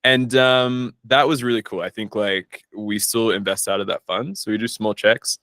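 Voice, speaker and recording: A man's voice: lowish voice, steady tone, normal speed, fairly bright tone, no room sound. A man chatting casually as an interview guest, informal and self-deprecating, recorded in a studio. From Australia. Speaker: guest, startup founder and investor.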